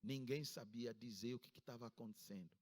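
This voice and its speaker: A man's voice: brisk, darkish tone. Ghanaian-accented man preaching with soaring emphasis. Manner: emphatic preaching with sudden high-pitched rises. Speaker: pastor. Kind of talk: sermon. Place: church service.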